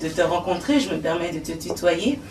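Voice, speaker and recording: Lady hosting a tv show, lowish voice, formal and flowery, echoey and a bit far from the mic. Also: lively intonation, a little fast. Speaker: TV show host. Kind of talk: broadcast interview hosting. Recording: echoey room, distant mic, faint noise.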